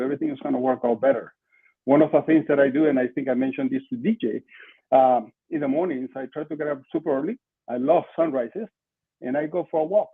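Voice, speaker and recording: Husky older man with Peruvian accent. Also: medium pitch. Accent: Peruvian Spanish. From Lima, Peru. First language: Spanish. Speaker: podcast guest, marketing entrepreneur. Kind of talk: conversational interview answers. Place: small room at home, indoors. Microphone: video call, computer mic at desk distance. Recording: muffled, lo-fi video call audio.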